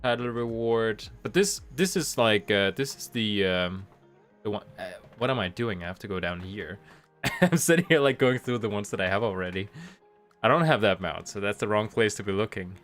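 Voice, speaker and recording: A man's voice: fairly low pitch, animated, moderate pace, a bit bright. Give me a man with a light cold and a slightly nasal voice, casually chatting through a live game stream with faint music in the background. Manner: rambling, thinking aloud with restarts. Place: home room, rain outside.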